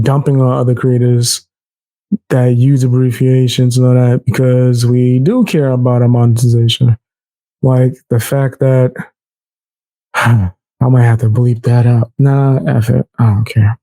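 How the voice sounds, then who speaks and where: A man's voice: mid-range voice, level tone, measured pace, warm tone, no echo. A man hosting a casual reaction commentary, loose and laughing, often repeating himself and calling people bro. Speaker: commentary YouTuber.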